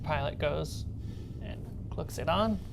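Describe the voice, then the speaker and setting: Game master for a tabletop role-playing session, mid-range voice, describing scenes over quiet music. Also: mid-range voice, takes it slowly, vocal fry.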